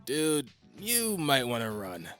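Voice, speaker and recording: A man's voice: medium pitch, huge pitch swings, slow, fairly bright tone, faint music behind, full character voice. A man playing a good-natured, easily flustered young friend in a cartoon comic dub. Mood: amused. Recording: echoey, reverberant room.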